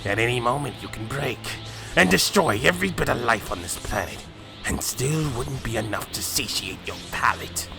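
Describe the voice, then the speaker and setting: A man's voice: medium pitch, expressive, gravelly, poor audio. A man playing a sinister, gloating villain who mocks and menaces in a fan-made anime drama, with music behind. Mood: cruel taunting. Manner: mocking, slow, menacing, chuckling. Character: gloating sinister villain possessing a father, cruelly taunting. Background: loud music.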